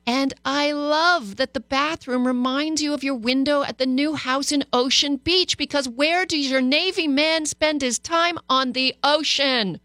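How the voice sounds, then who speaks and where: A woman hosting a radio call-in show as a psychologist, giving animated, encouraging advice. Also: high-pitched, unhurried, hardly any pauses, no room sound, clean studio sound. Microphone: studio microphone.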